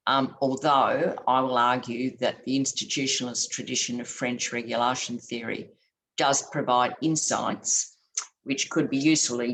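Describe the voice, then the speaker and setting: A woman giving an academic conference talk over a video call, her voice scratchy late at night, speaking in a measured way with frequent ums and restarts. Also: extremely low pitch, a bit bright. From Australia. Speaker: conference presenter.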